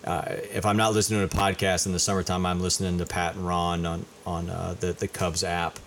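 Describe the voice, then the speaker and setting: Deep flat voiced guy from indiana, middle aged, rambles and repeats himself, slight background noise. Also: a little fast. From Indiana, USA. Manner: rambling, repeats words while thinking. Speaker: guest, high school principal. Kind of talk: casual interview.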